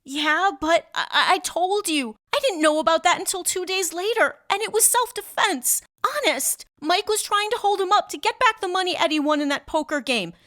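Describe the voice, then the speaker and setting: A young chorus girl in a radio crime drama, putting up a tough front over real fear. Her voice is high and sharp, with a dry, close sound. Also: expressive, normal speed, hardly any pauses, no room sound, heavily acted. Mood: anxious, defensive.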